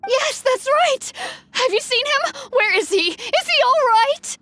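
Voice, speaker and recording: A woman playing an anxious, gentle village mother in a video game, earnest and polite. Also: very high voice, expressive, measured pace, a little hiss or hum, exaggerated voice. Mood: frantic, tearful.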